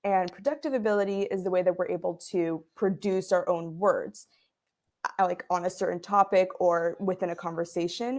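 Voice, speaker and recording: A woman's voice: fairly low pitch, a little mellow. A woman student recording a video reflection for class, explaining earnestly with some fillers.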